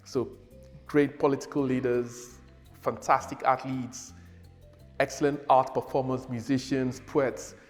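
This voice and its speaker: A middle-aged man, a native Yoruba speaker, explaining his children's group in a relaxed, casual way on a lapel mic. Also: mid-range voice, long reverb tail. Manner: relaxed, gesturing, uses fillers. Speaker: organiser of a children's heritage group.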